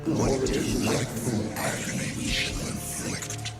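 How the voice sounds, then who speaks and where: A man's voice: medium pitch, huge pitch swings, takes it slowly, creaky, exaggerated voice. A man voicing a menacing video game monster who relishes torment, ominous and savouring each word. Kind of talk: video game character voice acting. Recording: game audio under loud music.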